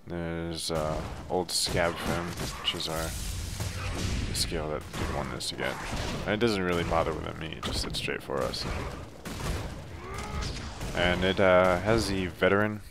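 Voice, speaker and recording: A man's voice: low voice, unhurried, vocal fry, background noise. A man giving a laid-back, offhand, unscripted walkthrough commentary as he plays through a video game. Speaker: gameplay guide narrator.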